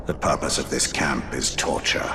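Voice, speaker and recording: An actor playing a grave, coldly fatalistic wraith lord in an epic fantasy game, solemn and foreboding. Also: male voice, rumbling low, steady tone, moderate pace, background noise. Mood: grim. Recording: loud music under the voice. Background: loud ominous game music.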